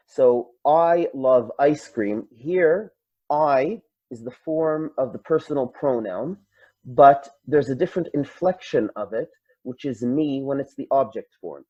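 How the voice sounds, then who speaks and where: Man lecturing, dark muffled sound. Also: medium pitch, no room sound. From Canada. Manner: explains patiently, gives examples. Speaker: Arabic instructor. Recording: dull, dry lo-fi.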